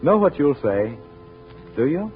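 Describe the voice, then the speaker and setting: Animated 1940s radio announcer, tinny sound. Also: male voice, on the high side, a little slow, faint music behind.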